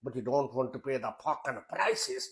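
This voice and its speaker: A man's voice: mid-range voice, lively intonation, normal speed. A man with a Yorkshire accent hosting a crude, jokey home recipe demo, swearing freely as he talks through each step in his kitchen. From Yorkshire, England. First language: Indian heritage. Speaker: cooking show host.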